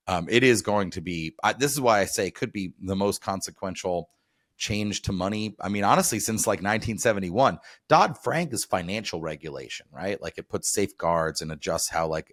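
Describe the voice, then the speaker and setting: A man with a very deep voice hosts a podcast in a relaxed, chatty way. He leans on casual fillers as he thinks aloud. The recording is close and dry. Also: dry recording. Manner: casual, chatty, many "like" and "you know" fillers. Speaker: podcast host. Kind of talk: podcast interview.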